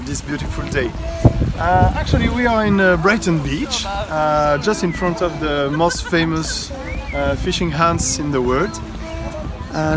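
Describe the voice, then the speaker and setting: Man, high voice, joking self-made tour guide outdoors in the street, hesitant delivery, loud music behind. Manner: jokey, hesitant, halting with frequent pauses. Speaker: self-styled tour guide sending a wedding video message. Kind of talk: casual outdoor video message, playful tour-guide patter. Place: outdoors on city streets and by a river.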